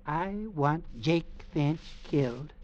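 An actor playing a cold, calculating older uncle, dry and condescending, in a mid-century radio drama. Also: male voice, on the high side, slow, a little mellow, slight background noise. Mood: cool, calculating. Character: cold, calculating older uncle, a former South Seas trader. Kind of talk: 1940s radio drama acting. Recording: muffled old radio recording.

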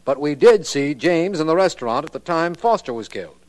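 Actor as earnest, questioning radio district attorney. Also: male voice, on the high side, animated, brisk, a little mellow, lightly acted. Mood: patient but curious. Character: earnest, curious male district attorney, detective's sidekick. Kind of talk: 1940s radio drama acting.

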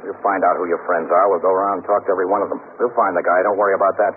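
An actor playing a tough, persistent police detective lieutenant in a radio drama, blunt and pressing. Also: male voice, deep voice, level tone, rapid-fire, natural voice. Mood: stern, pressing. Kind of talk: 1950s radio drama acting. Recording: muffled, noisy 1950s radio recording.

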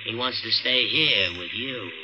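A man's voice: fairly low pitch, measured pace, noisy background, natural voice. An actor playing a curt, sardonic, menacing city cab driver with slangy tough-guy talk in a 1940s radio play. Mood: sardonic menace. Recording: old radio broadcast, music behind.

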